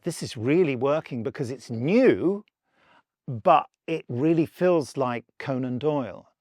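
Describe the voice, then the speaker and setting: A man's voice: medium pitch, animated, a little slow, a little mellow, no echo, clean studio sound. A man chatting enthusiastically in an interview as someone who makes audio dramas. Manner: enthusiastic, acts out imagined quotes. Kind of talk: interview chat.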